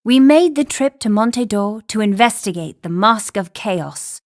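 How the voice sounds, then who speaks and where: An actress playing a confident, sharp-tongued young woman, a professor's assistant, in an animated game. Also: mid-range voice, measured pace, no echo, clean studio sound. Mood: reflective. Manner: composed narration, teasing banter. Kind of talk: animated game cutscene acting and story narration.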